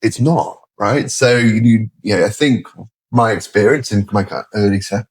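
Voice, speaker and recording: Low-voiced middle-aged British man. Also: moderate pace, husky. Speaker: interview guest, sales leader. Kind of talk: conversational interview.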